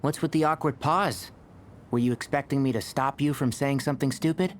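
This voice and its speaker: An actress playing a no-nonsense, sharp-tongued young woman leading an expedition in a video game, dry and commanding. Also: a bass voice, fairly even intonation, brisk, slight background noise. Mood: exasperated, firm. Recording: game music clearly behind voice.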